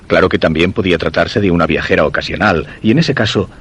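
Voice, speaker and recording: A man's voice: sits low, fast, fairly bright tone, a little hiss or hum. An actor with a Castilian Spanish accent playing a brooding, obsessive man narrating his diary in a radio drama. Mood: brooding, wistful. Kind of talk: first-person dramatic narration.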